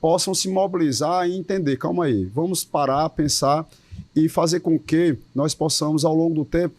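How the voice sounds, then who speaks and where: Um homem com sotaque cearense, presidente de uma associação de policiais, dá uma entrevista com tom sério e enfático e repete palavras às vezes. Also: male voice, a little high, moderate pace, a little mellow, dry recording. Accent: Cearense Portuguese. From Ceará, Brazil. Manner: earnest, emphatic, with repeated words. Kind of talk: interview answers.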